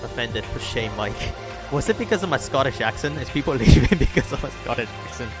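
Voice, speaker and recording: A man's voice: medium pitch, expressive, brisk, music in the background. A young adult man streaming a game, chatting with viewers, thanking them by name and joking about himself. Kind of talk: live-stream gaming chat and reading aloud.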